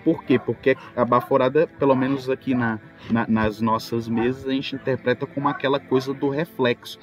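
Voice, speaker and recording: Brazilian guy on a podcast, mid-range voice, loud music behind him, casual storytelling. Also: medium pitch, fairly even intonation, normal speed. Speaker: tabletop role-player, podcast speaker.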